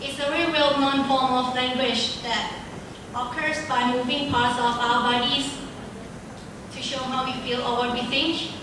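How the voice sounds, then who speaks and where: A woman's voice: high voice, level tone, unhurried. A teenage girl with a Thai accent, her first language Thai, giving a careful, formal, recited speech at a student speaking contest. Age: teens. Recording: echoey hall from far off, noisy.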